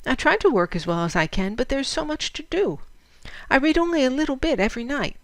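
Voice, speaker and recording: A woman's voice: high-pitched, very animated, fast talker, dry recording, voice pushed up. A woman voicing a weary, homesick eleven-year-old servant girl reading out her letter, plaintive and earnest, in a storybook reading. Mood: weary, despairing.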